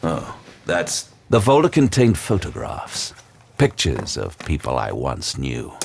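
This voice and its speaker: An actor playing a weary, haunted detective who narrates his own audio drama in a brooding, deadpan way. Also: rumbling low, takes it slowly, gravelly, a little hiss or hum, exaggerated voice. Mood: haunted, somber. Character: weary, haunted male demon-hunting detective, grimly wry. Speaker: lead character narrating in the first person. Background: dramatic music.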